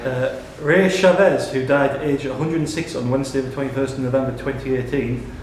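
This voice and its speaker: A man's voice: mid-range voice, steady tone, fairly quick. A man reading out questions to players as host of a live pub quiz. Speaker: quiz reader. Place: indoors in a pub. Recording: very echoey room, distant mic, background noise.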